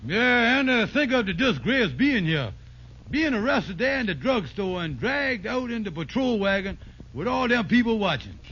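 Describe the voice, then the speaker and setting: A man's voice: high-pitched, very animated, moderate pace, fairly bright tone, slightly rough, exaggerated voice. An actor playing a fretful, scheming man in trouble, folksy and dramatic, in a vintage radio sitcom. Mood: fretful, indignant. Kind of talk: 1940s radio sitcom acting. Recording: muffled, noisy vintage radio recording.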